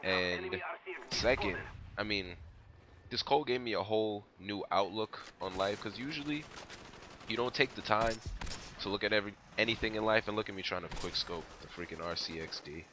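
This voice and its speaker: A man's voice: lowish voice, moderate pace, sharp tone, a little hiss or hum. A young man with a stuffy cold, rambling casually through his gameplay commentary. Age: young adult. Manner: rambling, laid-back, many fillers.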